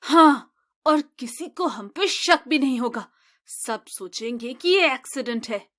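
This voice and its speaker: A woman playing a cruel, scheming mother-in-law in a moral-tale cartoon, sly and gloating with drawn-out phrasing. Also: high voice, lively intonation, fairly bright tone, heavily acted.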